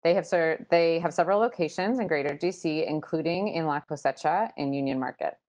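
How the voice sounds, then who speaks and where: American female moderator, thirties, low register, presenting and reading introductions on a video call. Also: brisk, darkish tone, lo-fi sound. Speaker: panel moderator. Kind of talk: hosting an online panel, partly reading introductions. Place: small room at home, indoors. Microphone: wired earbuds on a video call.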